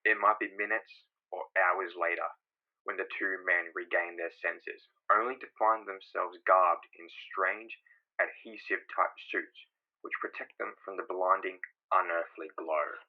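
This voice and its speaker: A man's voice: low voice, fairly even intonation, a little slow. A man narrating a comic book in a scripted, portentous, theatrical style. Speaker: narrator and voice actor. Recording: dull, lo-fi sound.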